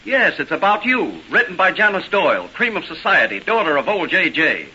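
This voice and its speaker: Shrill, brash old-radio newshound. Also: male voice, moderate pace, breathy edge, exaggerated voice. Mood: brash, pushy. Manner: pushy, excitable, slangy. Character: brash, eager, wisecracking American newspaper reporter. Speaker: actor in a radio play. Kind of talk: old-time American radio drama acting. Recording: hissy, dull old radio recording.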